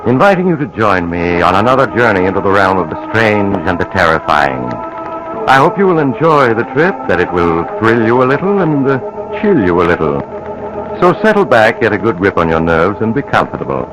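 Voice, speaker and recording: A very low-voiced, sinister storyteller hosts an old radio mystery over music. He is theatrical and deliberate, teasing the listener with the promise of chills. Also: sing-song, rough recording. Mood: eerie, inviting. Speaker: host and narrator. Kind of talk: 1940s radio mystery narration.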